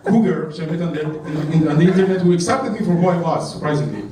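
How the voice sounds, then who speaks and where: A man from Iran with an Iranian accent doing stand-up at a comedy club, deadpan with acted quotes. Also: fairly high pitch, fast talker, recorded from a distance, a loud crowd. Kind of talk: stand-up routine. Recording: echoey club, mic from afar. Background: audience laughter.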